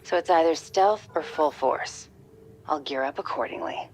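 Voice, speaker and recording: A woman's voice: sits low, moderate pace, darkish tone, slight background noise, lightly acted. A woman playing a confident covert-ops soldier in a video game, with a clipped, tough, self-assured delivery. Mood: confident, businesslike. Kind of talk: video game cutscene acting.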